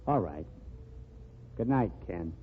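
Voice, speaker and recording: A fussy, proper society husband. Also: male voice, deep voice, lively intonation, slow. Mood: disapproving. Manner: fussy, disapproving. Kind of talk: 1940s radio acting. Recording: noisy tinny old radio broadcast.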